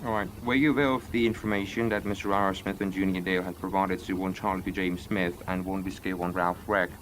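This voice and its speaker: A man playing a lawyer in a roleplay courtroom, questioning a witness in a formal, measured way. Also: low-pitched, flat delivery, fairly bright tone, a little hiss or hum, poor audio.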